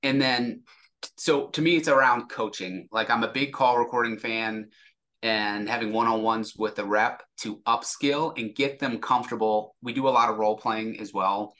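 A man's voice: mid-range voice, fairly even intonation, moderate pace, fairly bright tone. A man speaking as an interview guest, a sales executive explaining things casually and acting out example dialogue.

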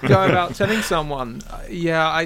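A man with a high voice tells a story on a podcast in an animated way, laughing as he goes. Loud crowd chatter fills the background. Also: moderate pace. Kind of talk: casual podcast chat.

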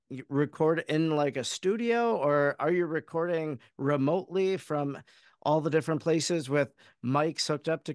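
Clear-voiced man hosting, slightly high pitch. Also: no room sound, studio quality. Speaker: podcast host, interviewer. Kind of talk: conversational interviewing.

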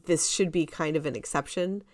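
A woman's voice: low voice, moderate pace, darkish tone, no echo, clean studio sound. A woman co-hosting a podcast in casual, conversational talk. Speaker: podcast co-host. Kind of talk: casual chat.

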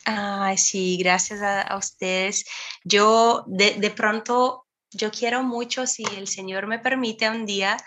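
Bright-voiced woman, Spanish as second language. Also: mid-range voice, steady tone, slow. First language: not Spanish. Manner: warm, grateful, laughs often. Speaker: guest singer-songwriter. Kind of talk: casual interview chat.

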